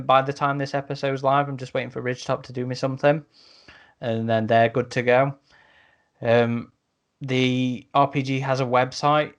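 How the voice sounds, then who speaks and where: Casual male podcast host. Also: medium pitch. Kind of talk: casual podcast review chat.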